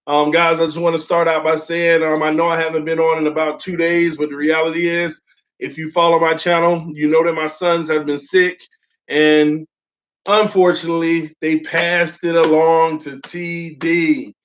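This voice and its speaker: Young man, livestream host, slightly high voice, flat delivery, sore voice after being sick, dull lo-fi audio. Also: moderate pace. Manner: loose and friendly, heavy "um" fillers, calls viewers "man" and "y'all". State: recovering from illness, voice still sore. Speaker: host of a sports fan livestream. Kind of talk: casual livestream chat, reading viewer comments.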